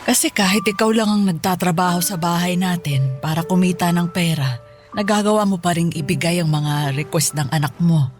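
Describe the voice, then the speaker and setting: A woman's voice: lowish voice, normal speed, soft background music, natural voice. Woman playing a kindly supportive grandmother. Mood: fond, admiring. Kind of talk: radio drama acting.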